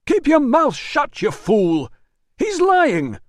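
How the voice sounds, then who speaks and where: A man voicing a panicky, blustering male employer in an audiobook, with rapid, indignant outbursts. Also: high-pitched, big pitch swings, dry recording, clean studio sound, voice pushed up. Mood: furious. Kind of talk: audiobook dialogue.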